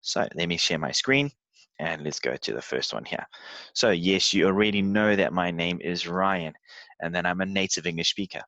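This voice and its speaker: A man in his thirties from South Africa, an English tutor giving a relaxed, conversational presentation while sharing his screen, with frequent filler words. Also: low-pitched, normal speed, no room sound, dull audio.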